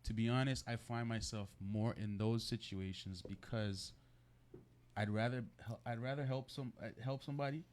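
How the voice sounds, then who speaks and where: A man's voice: medium pitch, moderate pace, darkish tone. A man talking as a guest on a casual talk show, informal and slangy, often checking in with a "right?". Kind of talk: casual conversational interview answers.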